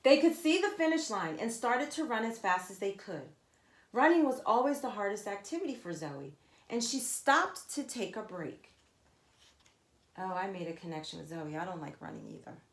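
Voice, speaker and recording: A woman reading a children's story aloud like a teacher, with emphatic, expressive narration addressed to young listeners. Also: medium pitch, normal speed. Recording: echoey, roomy sound.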